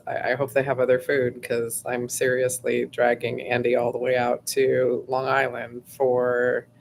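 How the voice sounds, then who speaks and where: A woman hosting a casual livestream, her voice going hoarse, rambling chattily with lots of fillers and frequent throat clearing. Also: a bass voice, a little hiss or hum. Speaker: host.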